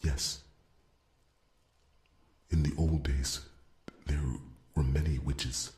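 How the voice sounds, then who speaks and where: A man playing an earnest, devoted blacksmith warrior in a fantasy ASMR roleplay, stammering and repeating words, with a breathy voice that often sinks toward a whisper. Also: rumbling low, little pitch movement, extremely slow, a little mellow, full character voice. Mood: grave, reflective. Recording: roomy, echoing sound.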